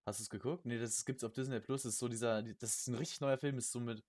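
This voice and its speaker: A young man co-hosting a casual podcast chat, slangy and jokey, often repeating words and phrases in quick bursts. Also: mid-range voice, steady tone, a little mellow. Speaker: podcast co-host.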